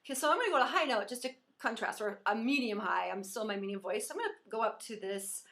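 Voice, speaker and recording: A woman voice teacher giving an encouraging singing lesson, explaining pitch and resonance as she demonstrates. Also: animated, fast talker, fairly bright tone.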